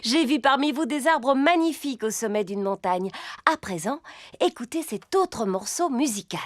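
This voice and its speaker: Woman acting cartoon gazelle schoolteacher, very animated intonation, high voice, instructive, encouraging. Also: normal speed, close to an everyday voice. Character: gentle, encouraging adult gazelle schoolteacher, female. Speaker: schoolteacher character. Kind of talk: children's cartoon dubbing. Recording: music behind the voice.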